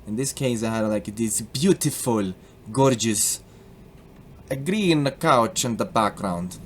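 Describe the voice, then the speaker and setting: A young man with a Colombian accent casually explaining a software tutorial, joking and slipping into put-on accents. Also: mid-range voice, lively intonation, slow, slight background noise. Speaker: tutorial host.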